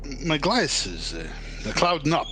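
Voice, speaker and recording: A man's voice: on the high side, very animated, unhurried, bright, forceful tone, full character voice. A man playing a nervous, reluctant working-class morgue attendant in an old-time radio drama, colloquial and stammering. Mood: uneasy. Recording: noisy, humming background.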